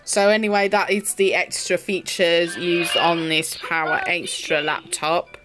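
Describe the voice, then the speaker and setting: Low-pitched woman reviewing over toy music. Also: little pitch movement, unhurried, poor audio. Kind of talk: casual spoken product demonstration. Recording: electronic toy music behind the voice. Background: music from a children's toy laptop.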